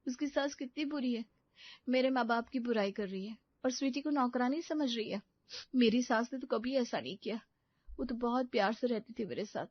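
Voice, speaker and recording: A woman voicing a meek, emotional young wife in an audio story, soft-hearted and easily dismayed. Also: fairly high pitch, moderate pace, no room sound, dull audio, lightly acted. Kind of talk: Hindi audio story character acting.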